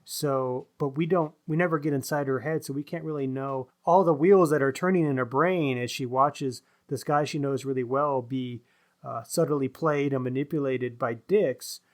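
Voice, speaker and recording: A man co-hosting a book podcast, discussing in a casual but analytical, measured manner. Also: medium pitch. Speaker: podcast co-host discussing books.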